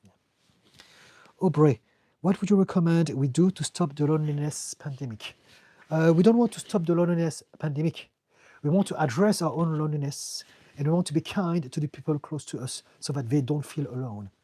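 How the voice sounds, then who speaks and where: A man's voice: fairly high pitch, brisk, dark tone, no room sound. French-accented man giving frank advice. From France. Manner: earnest, direct, repeats words when searching for them. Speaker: therapist answering live chat questions. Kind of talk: live-stream Q&A, casual advice.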